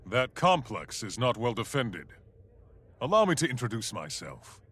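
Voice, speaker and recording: Formal, learned fantasy scholar. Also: male voice, medium pitch, sing-song, normal speed, a bit bright, breathy edge. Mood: formal, concerned. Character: learned, concerned scholar of a research order. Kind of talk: fantasy game dialogue. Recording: game audio with music behind.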